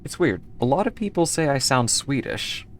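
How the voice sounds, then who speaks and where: A woman's voice: extremely low pitch, sing-song, moderate pace, slight background noise. A woman from the United States streaming a game and chatting casually with her viewers, easygoing and rambling as she answers chat between moves.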